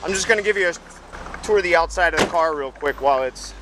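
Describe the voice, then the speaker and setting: Hyped guy talking over loud bass music, voice kinda high, outside in a parking lot. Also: fast talker. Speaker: car audio vlogger. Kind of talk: casual vlog talk to camera. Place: outdoors by a van in a parking lot.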